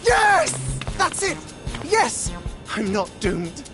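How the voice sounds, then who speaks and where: An actress playing an excitable, easily flustered townsperson in a fantasy game, exclamatory and melodramatic. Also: female voice, high voice, extremely slow, heavily acted. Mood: overjoyed. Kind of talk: fantasy video game voice acting. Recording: prominent game music behind.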